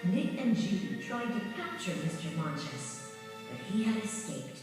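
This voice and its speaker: A woman reading a scripted children's story in a measured, dramatic storytelling manner. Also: mid-range voice, wildly swooping pitch. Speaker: storybook narrator. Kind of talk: scripted children's read-along narration. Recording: very echoey, distant, music behind. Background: music.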